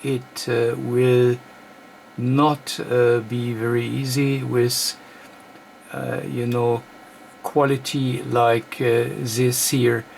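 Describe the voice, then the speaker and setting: Male tech demonstrator, non-native English, slow and halting delivery, mid pitch, slightly noisy workbench recording. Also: level tone, vocal fry, a little mellow. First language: not English. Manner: halting, hesitant phrasing in short broken chunks. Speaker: host of electronics repair demonstrations. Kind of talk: hands-on technical demonstration, unscripted. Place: indoor electronics workbench.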